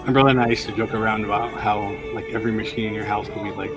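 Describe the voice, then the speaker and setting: A man's voice: lowish voice, fairly even intonation, very fast, over loud music. A man co-hosting a casual commentary in a laid-back, joking way. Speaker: podcast co-host. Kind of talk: casual ride-through commentary.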